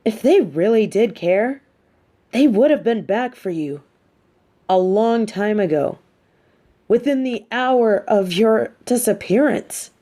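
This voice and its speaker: A woman playing a coolly menacing, manipulative but sympathetic supervillain in a scripted audio roleplay, speaking deliberately with theatrical condescension. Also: a little high, animated, dark tone. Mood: cold persuasion.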